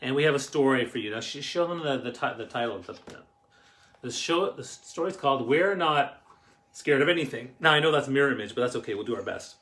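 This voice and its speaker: A middle-aged Canadian man chats to camera as he hosts a family show. His voice is bright and forceful. He tells personal stories in a halting, rambling way, circling back as he goes. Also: mid-range voice, animated. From Canadian Maritimes. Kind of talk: casual personal storytelling to camera.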